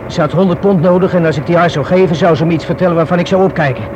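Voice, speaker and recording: A man's voice: on the high side, racing, lo-fi sound, lightly acted. An actor playing a wary, rueful young man confiding in the police in a radio drama, colloquial and hesitant. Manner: hesitant, colloquial, self-reproaching. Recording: noisy old radio play recording.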